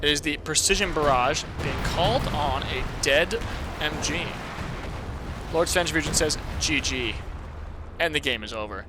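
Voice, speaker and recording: Excitable hoarse male game commentator. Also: on the high side, measured pace, background noise. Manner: excitable, laughs often, addresses chat. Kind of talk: casual replay commentary. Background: video game gunfire and artillery.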